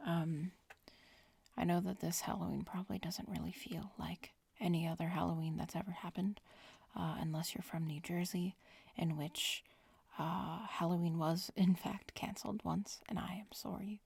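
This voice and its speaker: A young woman speaking in a soft, breathy whisper with some fry, chatting casually and gently as an ASMR creator. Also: on the low side, steady tone, normal speed, no room sound.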